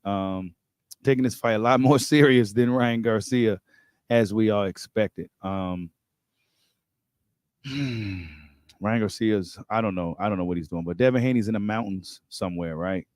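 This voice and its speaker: A man's voice: fairly low pitch, normal speed, a little mellow, clean tone, dry recording, studio quality. An American man hosting a boxing talk livestream, chatting casually in slangy, informal speech and repeating phrases for emphasis. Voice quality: voice getting slightly worn. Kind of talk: casual livestream chat and commentary.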